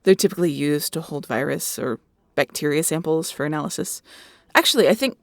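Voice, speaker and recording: A woman acting as a young space-faring scientist in an audio roleplay, sardonic and easily excited. Her voice sits on the low side with little creak, recorded close and dry. She talks in a chatty, nerdy way, straight to the listener. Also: brisk, no echo. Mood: nerdy, explaining. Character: snarky, excitable young female scientist aboard a pirate ship.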